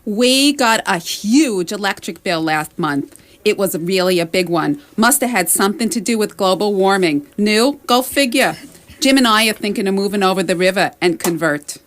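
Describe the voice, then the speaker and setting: A woman's voice: mid-range voice, animated, moderate pace, lightly acted. A woman playing a chatty, fretful middle-aged wife, rambling plaintively in a comedic radio sketch.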